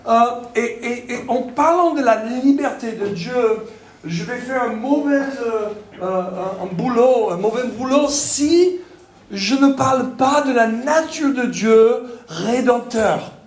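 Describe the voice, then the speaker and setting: A man from Texas with a Texan accent, speaking French as a second language, preaching a sermon with jokes and halting fillers. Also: very high voice, a little hiss or hum. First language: English. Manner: joking, self-deprecating, halting with fillers. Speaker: pastor. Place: church hall. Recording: echoey church hall.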